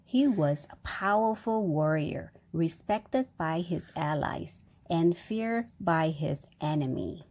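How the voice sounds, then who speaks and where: A woman's voice: deep voice, lively intonation, takes it slowly, few pauses, a little mellow. A woman reading a storybook aloud to a young child, breaking off for chatty, engaging asides and questions. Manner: engaging, prompting with questions and sound effects.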